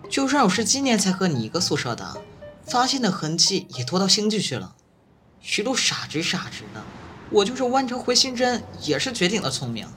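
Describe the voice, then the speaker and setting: A woman's voice: medium pitch, sing-song, fairly quick, fairly bright tone. A woman voicing a cheeky, boastful college roommate with a teasing, chatty manner in a campus audio drama. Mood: boastful, playful. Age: young adult. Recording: music playing behind the voice.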